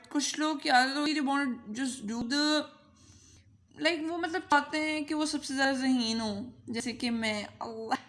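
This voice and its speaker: A woman's voice: high-pitched, fairly bright tone. A woman with a Pakistani accent gives an informal explanatory lecture, playful and conversational, mixing languages, her voice run through a voice changer. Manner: playful, code-switching, conversational. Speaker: teacher and channel host.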